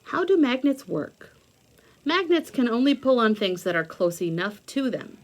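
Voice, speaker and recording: A woman's voice: on the high side, lively intonation, normal speed. A woman giving a hands-on read-aloud lesson for young children, explaining simply and step by step as she thinks aloud. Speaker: children's teacher reading aloud and demonstrating. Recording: noticeable background noise, homemade video.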